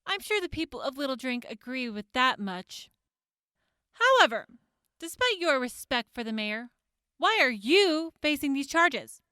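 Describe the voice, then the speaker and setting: A woman playing a high, probing prosecutor. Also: sing-song, measured pace, sharp tone, no echo, studio quality, exaggerated voice. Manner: probing, skeptical questioning. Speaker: prosecutor questioning the defendant. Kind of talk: audio drama acting.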